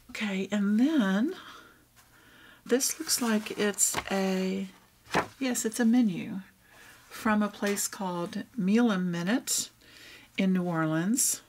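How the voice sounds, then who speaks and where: A woman with a Texan accent, showing and reading out old thrift finds in a casual, gentle way. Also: mid-range voice, a little hiss or hum. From Texas. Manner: reads old items aloud, softly remarking on them. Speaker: vlogger. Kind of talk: casual thrift haul show-and-tell.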